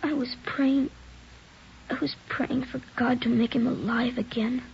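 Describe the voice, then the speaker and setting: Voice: medium pitch, steady tone, measured pace, creaky. An actor in a 1940s radio drama playing a frightened, guilt-ridden nine-year-old boy, pleading and earnest. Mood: guilty, mournful. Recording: dull noisy 1940s radio recording.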